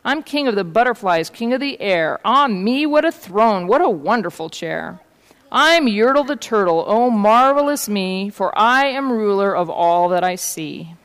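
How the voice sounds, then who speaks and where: A woman acts out a grandiose, bossy storybook turtle king. He bellows his boasts and orders imperiously, in a clear voice ringing with long hall reverb. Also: mid-range voice, expressive, unhurried, fairly bright tone. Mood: gloating. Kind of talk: storybook read-aloud.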